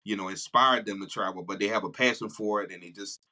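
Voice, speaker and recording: Low-voiced man, bright forceful podcast host. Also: quick pace. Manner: warm-hearted, emphatic, frequent "man". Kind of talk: casual interview talk.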